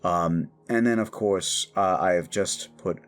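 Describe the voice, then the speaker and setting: Low-voiced man chatting casually. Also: unhurried. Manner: effusive, informal. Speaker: audio roleplay creator and host. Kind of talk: casual outro chat to listeners. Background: faint music.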